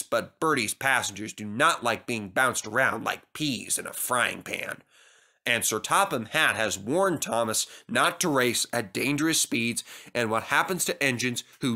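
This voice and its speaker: Animated male storybook narrator, bright tone. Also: medium pitch. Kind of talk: scripted children's story narration.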